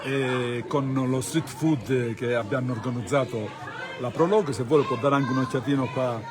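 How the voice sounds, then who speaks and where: Food stall guy, medium-pitched voice, chatting in a street interview with crowd noise behind. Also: normal speed. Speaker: food stand vendor being interviewed. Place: outdoor food stand at a festival.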